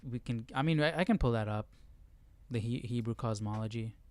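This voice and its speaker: Man, livestream host, smooth clear voice, close dry sound, informal commentary, frequent tag questions. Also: medium pitch, brisk, darkish tone, no echo. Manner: informal, often checks in with "right?". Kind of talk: casual Bible study livestream commentary.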